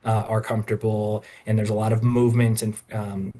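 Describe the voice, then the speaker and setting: A man giving spontaneous interview remarks with halting phrasing. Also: lowish voice, flat delivery, dark tone.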